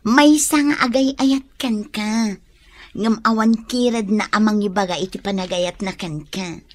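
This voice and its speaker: A woman's voice: medium pitch, measured pace, close to an everyday voice. An actress playing a teasing, frank young woman who nudges a friend toward romance in a radio drama. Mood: playful.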